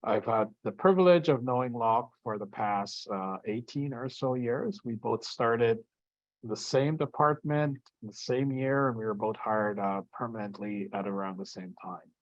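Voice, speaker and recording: A man's voice: medium pitch, measured pace, dry recording, muffled recording. A man hosting an online lunch talk over a video call, introducing speakers with fillers. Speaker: event host.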